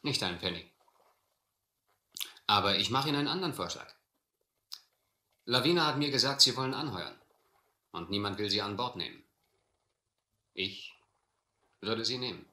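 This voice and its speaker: An actor playing a stern, determined sea captain who narrates and commands in a firm, measured manner in a radio adventure play. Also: male voice, on the low side, lively intonation, sharp tone, roomy sound, full character voice. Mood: firm, terse. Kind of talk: German audio adventure drama.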